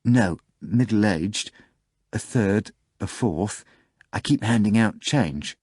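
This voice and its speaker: Guy reading as a nervous put-upon bartender, low and halting, dry close sound. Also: expressive, darkish tone, breathy edge, no room sound, heavily acted. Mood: flustered. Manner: flustered, hesitant. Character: timid, miserable middle-aged male bartender.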